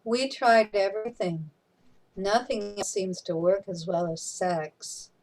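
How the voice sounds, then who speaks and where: A woman playing a plain-spoken cosmic guide who also reads stage directions, in a deliberate scripted style for an amateur audio play. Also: medium pitch, a little mellow, low-quality recording. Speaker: reader of stage directions and cast member.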